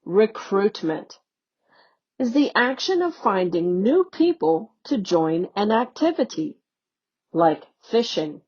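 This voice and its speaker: A woman educator reading a children's newsletter aloud in a slow, deliberate, teacherly way. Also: medium pitch, very animated, slightly rough. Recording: dull, lo-fi recording.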